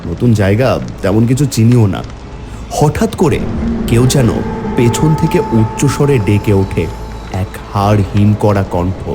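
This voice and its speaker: A man's voice: on the low side, lively intonation, unhurried, over music. A man narrating a cartoon horror story in the first person, theatrical and exclamatory when voicing the dialogue.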